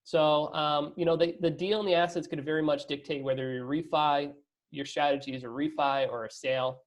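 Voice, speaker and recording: A man's voice: a little high, fairly even intonation, fairly quick. A man speaking as an investor guest in a casual business interview, conversational, often adding "you know" and "right?" tags.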